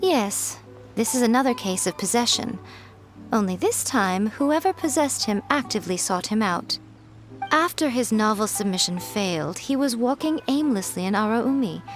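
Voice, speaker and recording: A woman's voice: a little high, expressive, normal speed, faint music behind, lightly acted. A woman voicing a sly, confident fox shrine priestess in a video game, with an amused, teasing way of talking.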